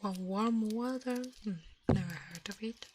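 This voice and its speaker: A woman doing an ASMR livestream, chatting to viewers in a breathy, mostly whispered voice and softly repeating her phrases. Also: medium pitch, noisy background. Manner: slow-drifting, repeats words and phrases softly.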